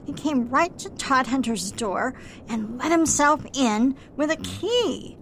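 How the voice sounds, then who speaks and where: A woman playing a suspicious, disapproving mother who nags slowly about her daughter's fiancé, in an amateur radio drama. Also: high-pitched, expressive, fairly bright tone, slight background noise, full character voice. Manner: slow, suspicious, nagging.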